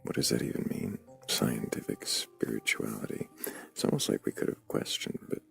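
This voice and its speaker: A man hosting a livestream debate, speaking slowly in a dry, sardonic manner, often dropping to a near whisper. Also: sing-song, fairly bright tone, soft background music. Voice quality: very low gravelly vocal fry. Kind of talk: livestream chat.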